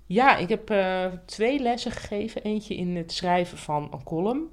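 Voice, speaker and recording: A woman's voice: medium pitch, expressive, normal speed. A woman chatting casually as a podcast co-host. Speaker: writing teacher. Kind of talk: casual chat. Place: indoors on a couch.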